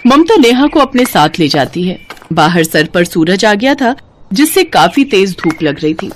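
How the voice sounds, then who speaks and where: A woman's voice: medium pitch, sing-song, unhurried, slight background noise. A woman storyteller reading a scripted moral story as narrator.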